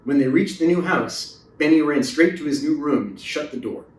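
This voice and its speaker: A man's voice: a little high, animated, moderate pace, darkish tone. Man reading a children's story, echoey and distant. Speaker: storybook reader. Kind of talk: read-aloud children's story narration. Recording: roomy echo, distant mic.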